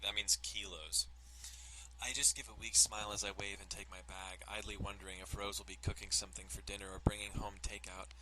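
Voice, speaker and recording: A man's voice: fairly low pitch, little pitch movement, fairly quick, poor audio. A man narrating a visual novel in a casual, informal way, breaking off into joking, sweary asides. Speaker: let's player reading a visual novel aloud. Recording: loud music under the voice. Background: music.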